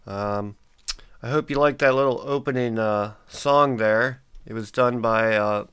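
A man's voice: medium pitch, measured pace, fairly bright tone, smooth. Stuffy-nosed man hosting a podcast. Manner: rambling, many ums and uhs. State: congested, stuffy. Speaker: podcast host. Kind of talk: casual solo podcast monologue.

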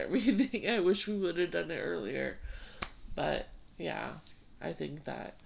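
A woman's voice: low voice, gravelly. A woman pastor co-hosting a casual ministry talk, stuffed up from a cold, chatty with laughs and fillers. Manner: conversational, with laughs and many fillers. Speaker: pastor and co-host. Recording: dull lo-fi sound.

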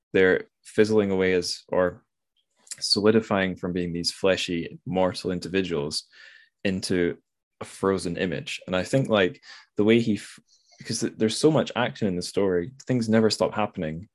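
A man hosting a casual literary discussion, thinking aloud and correcting himself as he goes. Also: deep voice. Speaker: podcast host.

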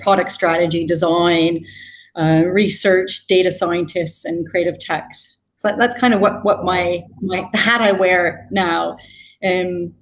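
A woman's voice: lowish voice, slight background noise. A woman from West Coast Canada whose accent is mixed with British after years in the UK. She gives thoughtful, conversational answers as an interview guest. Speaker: guest, design executive.

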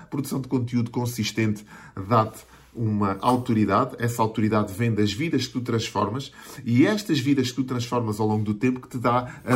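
Earnest Portuguese man in his forties. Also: fairly low pitch. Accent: European Portuguese. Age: 40s. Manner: earnest, builds points in repeated lists. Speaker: marketing mentor. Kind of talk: motivational talk to camera.

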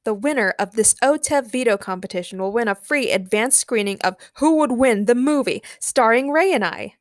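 A smug and cheerful male host makes breezy announcements in a story, read by a woman. The pitch is a bit raised and the sound dry. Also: expressive, normal speed, no room sound, close to an everyday voice. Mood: announcing.

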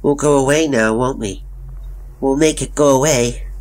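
A man's voice: mid-range voice, normal speed, a little mellow, voice pushed up, lightly acted. A man voicing an anxious, pleading mother of a small boy in an emotional dramatic story reading. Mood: coaxing. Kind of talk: dramatic audiobook character acting.

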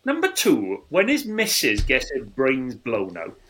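A man in his forties chats casually as a co-host on a movie review show. His pitch is average for a man. He comes across as blunt and incredulous, picking at things with a complaining tone. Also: huge pitch swings, measured pace, fairly bright tone. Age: 40s. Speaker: podcast co-host. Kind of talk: casual film review chat.

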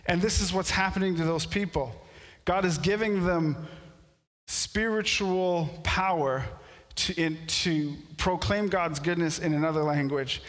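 A pastor whose first language is French, preaching a sermon in a storytelling way that builds to animated outbursts. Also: male voice, high voice, unhurried, long reverb tail. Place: church sanctuary.

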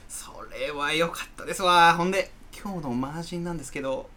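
A man's voice: a little high, brisk, pushed and bright. A man playing a sly, fawning fixer in a comedy radio drama, wheedling with a Kansai accent. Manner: obsequious, wheedling merchant-like talk. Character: sly, fawning male fixer who procures party girls.

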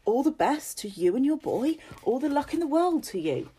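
A woman's voice: high-pitched, very animated, normal speed, mellow, voice pushed up. A woman voicing a nervous, stammering old soldier among travelling folk who pleads with a landowner. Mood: pleading.

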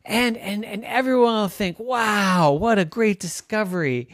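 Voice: medium pitch, takes it slowly, no room sound, studio quality. A storyteller voicing an adventurous young girl explorer who jumps from boredom to thrilled squeals. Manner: squealing, breathless excitement.

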